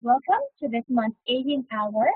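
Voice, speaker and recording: A Filipino woman hosts a webinar in a polite, formal way, with fillers between her announcements. Her voice is high and breathy. It comes through a tinny, dry call line. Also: expressive, normal speed, no echo. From Philippines. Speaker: webinar host. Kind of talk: hosting an online webinar. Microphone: computer mic on a video call.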